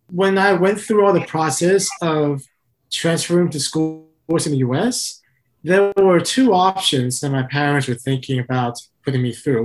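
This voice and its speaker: A man from Taiwan, an interview guest and company founder, answering in a calm, methodical way. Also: fairly high pitch, poor audio.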